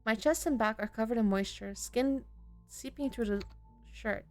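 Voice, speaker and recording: High-pitched woman reading a horror game aloud. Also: normal speed, faint music behind. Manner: reactive, exclaiming, casual swearing. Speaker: streamer playing and reading aloud a visual novel. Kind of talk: expressive read-aloud narration with casual commentary.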